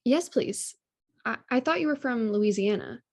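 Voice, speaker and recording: Slightly high pitch, woman playing young fiancée, shy, polite, hesitant, nervous, table-read drama. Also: lively intonation, moderate pace, darkish tone. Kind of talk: virtual table read acting.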